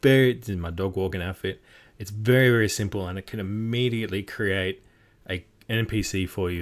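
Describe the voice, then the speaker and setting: Chatty Australian man, lowish voice. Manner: chatty, self-deprecating, encouraging. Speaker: game master giving tips. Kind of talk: casual instructional talk.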